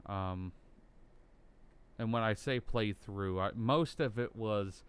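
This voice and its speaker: A young American man with a deep, bass-heavy voice and a smooth, clear tone. He rambles casually while gaming, stringing thoughts together with frequent ums, likes and restarts. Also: takes it slowly. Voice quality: bassy voice. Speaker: gaming YouTuber. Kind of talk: casual gameplay commentary.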